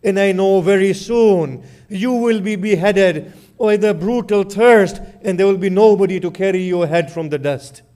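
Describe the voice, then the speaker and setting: A man's voice: high voice, moderate pace, a little mellow, lightly acted. A man voicing a dying loyal warrior, faint and sorrowful. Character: dying young male warrior, loyal brother.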